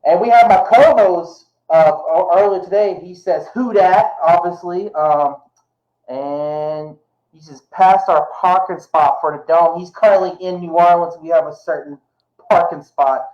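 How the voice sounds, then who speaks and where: A man's voice: high-pitched, unhurried, echoey room, dull audio. A man hosting a podcast, speaking casually and stumbling over repeated words. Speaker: podcast host.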